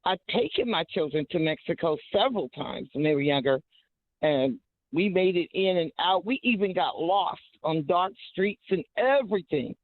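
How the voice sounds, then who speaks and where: A woman calling in to a talk show, loud and chatty as she rambles through her stories. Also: lowish voice, animated, moderate pace, dry recording. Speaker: caller. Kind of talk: call-in storytelling. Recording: dry tinny phone line.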